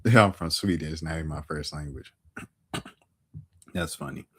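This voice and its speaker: A young man streaming and reacting to clips in a laid-back, joking way, full of slang and shout-outs. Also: very deep voice, moderate pace, slight background noise. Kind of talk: casual reaction commentary.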